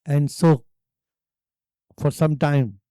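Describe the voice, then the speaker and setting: An Indian-accented man leading a guided meditation as a teacher, speaking calmly and deliberately with long pauses. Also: a little high, smooth, dry recording, clean studio sound. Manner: calm, hushed, deliberate phrasing with long pauses.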